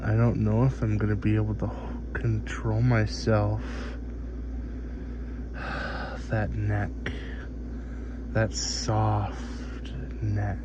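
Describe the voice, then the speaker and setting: A man playing a seductive, hungry vampire master in a soft-spoken ASMR roleplay, with drawn-out, sighing, murmured speech. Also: lowish voice, monotone. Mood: craving. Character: hungry, seductive male vampire, doting yet domineering master. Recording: dull, muffled audio with clear background noise.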